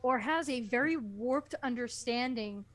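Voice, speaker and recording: A woman's voice: a little high, a little slow, fairly bright tone. A woman co-hosting a comedic book club, chatting casually in a sarcastic, exasperated way. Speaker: podcast co-host and voice reader.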